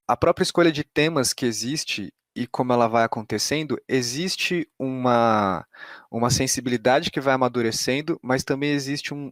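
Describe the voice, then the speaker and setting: A Brazilian man hosts a conversational interview in a mid-pitched voice with a very dry sound. He feels his way through long questions, restarting and searching for the right word. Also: no room sound. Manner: tentative, searching, frequent restarts. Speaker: podcast host, interviewer.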